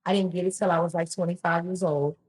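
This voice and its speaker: A woman's voice: on the low side, steady tone, fast, background noise. A woman, a podcast guest telling her life story in a chatty way, full of ums and restarts. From Louisiana, US. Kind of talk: casual storytelling interview.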